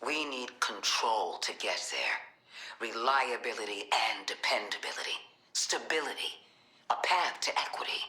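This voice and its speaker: An actress playing a commanding middle-aged government director in a video game, measured and coolly manipulative. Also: female voice, very deep voice, lively intonation, sharp tone, reverberant, heavily acted, rough recording. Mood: firm, controlled. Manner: measured, authoritative.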